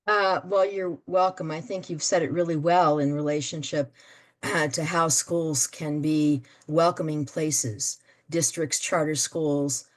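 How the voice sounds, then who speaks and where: A woman leading an online meeting, explaining things with many fillers and pauses. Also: sits low, fairly even intonation. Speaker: meeting facilitator.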